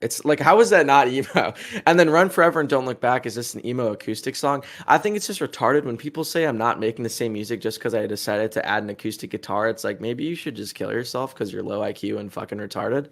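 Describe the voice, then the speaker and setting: A man's voice: mid-range voice, fast, gravelly, few pauses. A man in his twenties, a musician answering an interview in a rambling, heated way, full of fillers and crude insults. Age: 20s.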